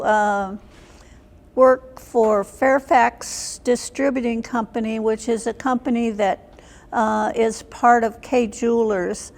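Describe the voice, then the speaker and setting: An older woman, in her seventies or beyond, recalling her life as an interview guest in a plain, halting way. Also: fairly high pitch, a little mellow. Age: 70s or older. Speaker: interview guest, military veteran. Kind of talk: reminiscing in an unscripted interview. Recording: long hall reverb.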